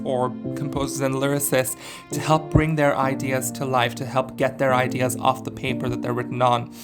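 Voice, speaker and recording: A young man, a singer, giving a reflective personal statement in an earnest, articulate way with occasional filler pauses. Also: mid-range voice.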